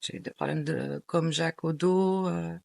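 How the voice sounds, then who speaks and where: A woman's voice: low-pitched, fairly even intonation, moderate pace, creaky, warm tone. A middle-aged woman from Portugal, chatting in an interview, hesitant, with many fillers and restarts. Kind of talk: casual interview chat.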